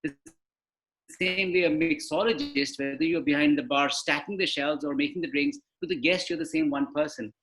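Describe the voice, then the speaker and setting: A man's voice: fairly high pitch, moderate pace, a bit bright, poor audio. A man, speaking as a panelist on a live webinar over a video call, explaining in a conversational way and asking rhetorical questions. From India.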